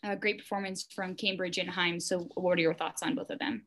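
A woman's voice: on the low side, fairly even intonation, brisk, a bit bright. A woman reporter asking a coach questions at a press conference, tentative and restarting her sentences.

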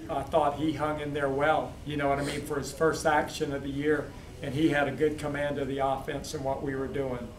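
A man who is a head football coach, answering postgame questions in a steady, measured way with many fillers. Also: a little high. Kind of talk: postgame press interview. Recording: echoey, distant mic, crowd noise.

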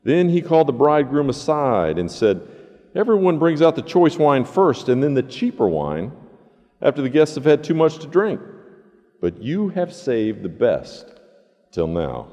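A pastor in his sixties preaches in a mellow male voice. His phrasing is deliberate and emphatic, with a lively rise and fall in pitch. The sanctuary adds a long echo around his words. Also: muffled recording. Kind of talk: sermon with scripture reading and prayer. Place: large church sanctuary, indoors. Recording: echoey church sanctuary.

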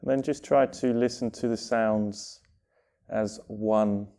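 Slow, very mellow man guiding meditation. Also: lowish voice, fairly even intonation. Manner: unhurried phrases with long pauses. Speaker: meditation guide. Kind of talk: guided meditation, gentle instruction.

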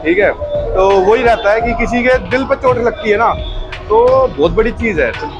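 A man's voice: sits high, expressive, normal speed, muffled recording. A young man from India chatting casually as he vlogs his day, with music playing behind him. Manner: chatty, repeats "ठीक है". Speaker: vlogger. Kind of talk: casual vlog chat. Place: outdoors and in a gym.

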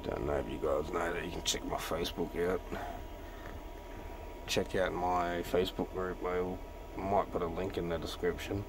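A young man vlogging casually from inside his van at night, swearing often and rambling bluntly, his voice rough from being sick. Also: deep voice, fairly even intonation, normal speed. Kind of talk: casual vlog walkthrough. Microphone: handheld phone camera. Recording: noisy heater hum in a van. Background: diesel heater hum.

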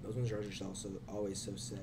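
Young guy livestreaming from his bedroom, lowish voice kinda hoarse, noisy background hum. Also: flat delivery, fast talker. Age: young adult. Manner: laid-back, teasing, swears casually. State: losing his voice. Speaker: streamer. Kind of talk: casual chat on a livestream. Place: small bedroom.

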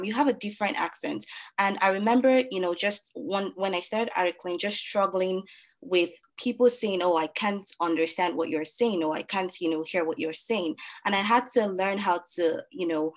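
A woman with a Nigerian accent moderating a panel discussion on a video call, talking in a relaxed way with many fillers. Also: on the low side, moderate pace.

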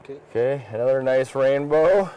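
A middle-aged man hosting a fishing show outdoors by a river, talking casually with quick, enthusiastic exclamations on a clip-on mic. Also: medium pitch, highs cut off, a little hiss or hum.

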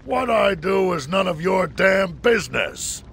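A man playing a proud, vengeful male alien warrior in an anime game dub, gruff and defiant. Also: high voice, big pitch swings, measured pace, a bit bright, a little hiss or hum, heavily acted. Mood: furious, defiant.

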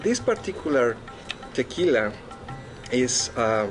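A man from Mexico with a Mexican accent, earnestly explaining a dish and its history in a halting manner with many fillers. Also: mid-range voice, sing-song. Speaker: restaurant guest. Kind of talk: explaining food and history in conversation. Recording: music playing behind.

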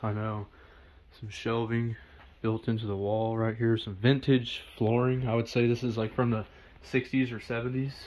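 Flat-voiced young man exploring an empty house. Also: mid-range voice, moderate pace, slight background noise. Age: 20s. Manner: talks to viewers while exploring, points out objects in plain remarks. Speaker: urban explorer vlogger. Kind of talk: casual walkthrough narration. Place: indoors in an empty abandoned house. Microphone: first-person body or head camera.

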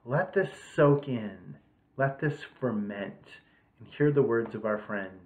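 A man's voice: mid-range voice, measured pace. A man in his forties hosting a retreat, speaking informally to camera from a room at home. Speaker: retreat host. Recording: thin, phone-like home webcam audio.

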